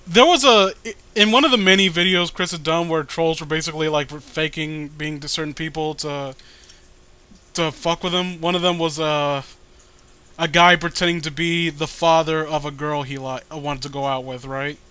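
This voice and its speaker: A man with a high, bright voice co-hosts a comedic read-along. He rambles through his commentary in an exasperated, casual way and swears freely. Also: steady tone, normal speed, clean tone. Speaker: co-host of a comedic reading show. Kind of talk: casual comedic commentary while reading aloud.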